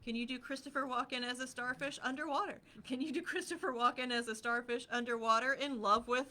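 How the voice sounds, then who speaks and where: A woman's voice: high voice, steady tone, fast, sharp tone. A woman co-hosting a casual casting chat, agreeing and explaining points with examples. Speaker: casting team co-host.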